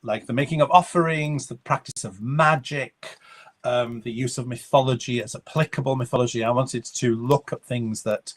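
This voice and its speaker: A Welsh man with a mid-range voice, a native Welsh speaker, answers interview questions as a guest author. He speaks thoughtfully and measures his words, with small pauses while he searches for the right phrase. The delivery is conversational and unhurried. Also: mid-range voice, steady tone. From Wales.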